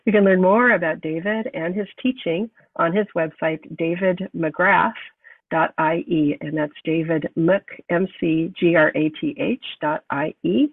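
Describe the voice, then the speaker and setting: A woman's voice: fairly low pitch, husky, dry recording. Nasal woman host with a cold. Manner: calm, unhurried guidance. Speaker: podcast host. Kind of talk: podcast interview and guided meditation. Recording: thin phone-like sound, distant from mic.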